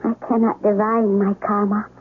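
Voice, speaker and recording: An actress playing a reserved, gentle, high-born young lady in a 1970s radio drama, speaking formally and delicately. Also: little pitch movement, normal speed, lightly acted. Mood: demure, reserved. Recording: muffled vintage radio broadcast, faint noise.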